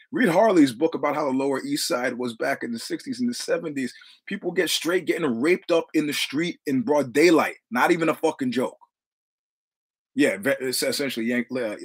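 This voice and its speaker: A man's voice: mid-range voice, fairly bright tone, breathy edge. A man in his sixties from New York City with a New York accent, telling rambling, profane anecdotes with quoted dialogue as a guest in casual conversation. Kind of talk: casual storytelling.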